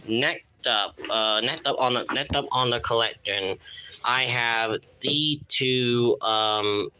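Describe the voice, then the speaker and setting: A man casually presenting on a live stream in a halting, repetitive way, often losing his thread. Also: mid-range voice, pushed and bright, a little hiss or hum, rough recording. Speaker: live streamer showing a radio collection.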